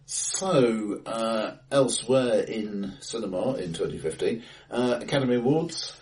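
A man with a lowish voice chats about films as a podcast host, measured and analytical with some hesitation. He sits in an echoey, bright-sounding room, some way from the mic. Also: recorded from a distance. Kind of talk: casual film discussion. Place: indoors, reverberant room, face to face.

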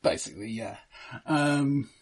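A man co-hosting a casual film discussion, speaking haltingly and often stammering over repeated words. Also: mid-range voice. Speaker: podcast co-host.

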